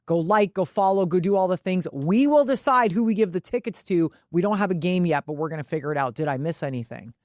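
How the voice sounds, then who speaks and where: A woman's voice: fairly low pitch, lively intonation, fast talker, hardly any pauses, a little mellow, no room sound, studio quality. A woman co-hosting a sports podcast, talking casually in a blunt, salty, slangy way. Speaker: podcast co-host, broadcaster.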